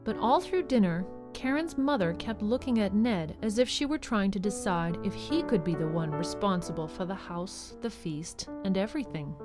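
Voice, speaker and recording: Narrator, female: scripted storybook delivery, medium pitch, clear music bed behind. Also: lively intonation, moderate pace, hardly any pauses.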